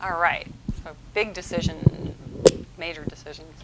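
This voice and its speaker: A woman psychologist giving a conference talk into a room mic, energetic and chatty, often restarting her sentences. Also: low-pitched, a little slow, very noisy. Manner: energetic, chatty, self-interrupting. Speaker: guest speaker, psychologist. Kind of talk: conference presentation. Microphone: handheld mic in a room.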